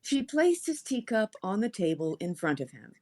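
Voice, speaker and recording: Female storyteller for spooky read-aloud narration, medium pitch with animated, expressive movement. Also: normal speed. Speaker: story reader on a stream.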